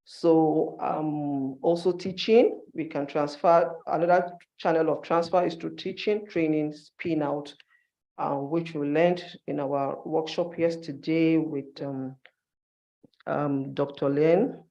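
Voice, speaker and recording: A man's voice: fairly high pitch, fairly even intonation, slow pace, vocal fry, mellow, dull audio. A man giving an instructive conference presentation in short, emphatic phrases, as a university staff member.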